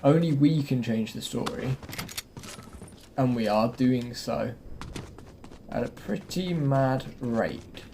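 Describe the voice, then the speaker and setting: A man's voice: medium pitch, slow, pauses often. A man giving casual gameplay commentary as the host of a gaming video. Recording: clear game noise behind the voice. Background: game ambience and vehicle noise.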